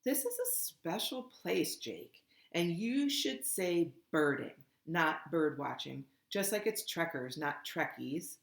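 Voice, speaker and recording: A woman's voice: low voice, animated, slow pace, creaky, hardly any pauses, exaggerated voice. Woman reading as earnest know-it-all boy, insistent, storybook, roomy echo. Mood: pedantic correcting. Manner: insistent, informing, correcting. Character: earnest, pedantic bird-loving boy. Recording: echoey room.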